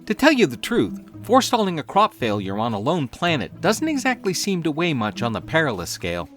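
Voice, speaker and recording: High-voiced, swooping space detective. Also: male voice, wildly swooping pitch, fairly quick, fairly bright tone, soft background music. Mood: skeptical. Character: plucky male space detective, skeptical and earnest. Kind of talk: comedic audio drama acting.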